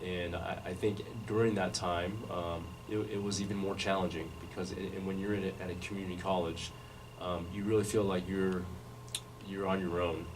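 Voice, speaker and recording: Deep monotone guy being interviewed in a radio studio, slow careful talk with lots of restarts, picked up from a distance. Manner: measured, many restarts and fillers. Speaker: interview guest. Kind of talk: relaxed life-story interview. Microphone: studio microphone, recorded from afar. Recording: distant mic with background noise.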